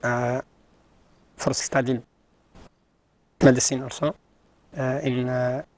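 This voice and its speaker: Halting young man, flat and dry. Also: medium pitch, no room sound. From Mauritania. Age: young adult. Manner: halting, many fillers. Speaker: quiz contestant. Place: TV studio.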